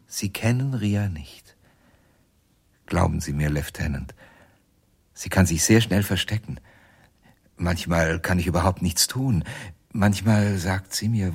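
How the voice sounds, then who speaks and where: An actor playing a tormented, helpless ventriloquist in a radio crime drama, speaking in a halting, confessional way. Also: male voice, sits low, a little mellow, slightly rough, full character voice. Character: tormented male ventriloquist, helpless under his doll's power.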